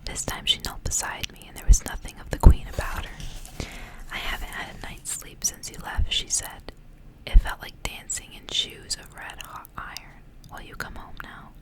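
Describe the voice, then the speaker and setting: A woman reading a story aloud in a soft, breathy, intimate whisper for ASMR, with measured storytelling phrasing. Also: poor audio.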